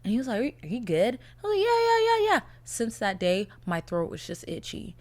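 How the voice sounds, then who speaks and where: A young woman hosting her own podcast, chatting casually in a blunt, profane, animated way, her voice a little raspy from a cold. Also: on the high side, a little fast. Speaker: podcast host. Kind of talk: casual solo podcast chat.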